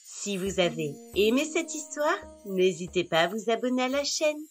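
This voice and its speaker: A woman narrating children's stories in an expressive storytelling style. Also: medium pitch, moderate pace, faint music behind.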